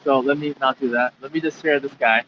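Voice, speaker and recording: A man's voice: mid-range voice, fairly even intonation, brisk. A young man hosts a livestream in a loose, rambling way, full of ums and restarts. His voice comes through a dull, lo-fi connection with a faint hiss underneath. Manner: rambling, many fillers and restarts. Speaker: livestream host. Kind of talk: casual livestream hosting. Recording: lo-fi livestream audio with faint noise.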